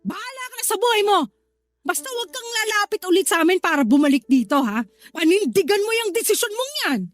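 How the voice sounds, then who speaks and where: Very high-pitched scolding older sister. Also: female voice, big pitch swings, quick pace, soft background music. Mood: angry, dismissive. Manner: confrontational, nagging, interrogating. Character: scolding, hot-tempered older sister, stressed working woman. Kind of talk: Tagalog radio drama acting.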